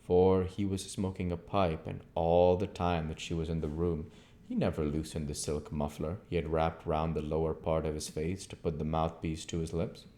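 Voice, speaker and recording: A man's voice: very deep voice, level tone, moderate pace, dark tone. A man narrating a classic novel aloud as an audiobook reader. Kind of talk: storybook narration, read aloud.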